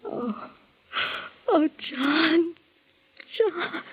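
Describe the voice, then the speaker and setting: An actress playing a sweet, devoted young woman in love, soft and breathy, in a 1940s radio melodrama. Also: very high voice, lively intonation, drawn-out words, heavily acted. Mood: anguished confession. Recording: dull, lo-fi 1940s radio recording.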